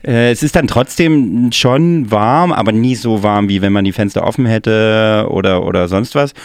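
A man's voice: fairly low pitch, moderate pace, clear, resonant tone, no echo. A man hosting a music show in casual, rambling, joking chat. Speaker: radio music show host. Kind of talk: casual chatty radio moderation.

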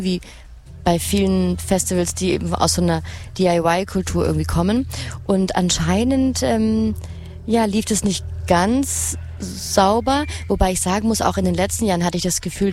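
A woman's voice: on the low side, darkish tone. A woman co-hosting live festival radio, chatting and asking questions in a casual, colloquial way. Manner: informal, colloquial. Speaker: radio co-host and interviewer. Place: open lounge area at a festival site. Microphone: broadcast microphone.

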